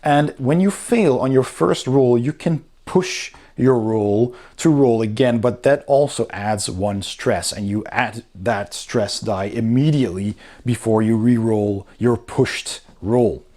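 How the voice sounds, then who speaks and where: A man with a medium-pitched voice talks through a product in an informal review style. He explains things step by step, with some hesitation, like a hobby reviewer speaking off the cuff. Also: slow, few pauses, warm tone. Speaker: board game reviewer.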